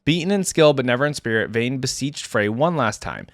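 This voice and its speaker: A man's voice: mid-range voice, lively intonation, fairly quick, no echo, clean studio sound. A man hosting a reaction video, reading a story aloud and adding casual, informal comments.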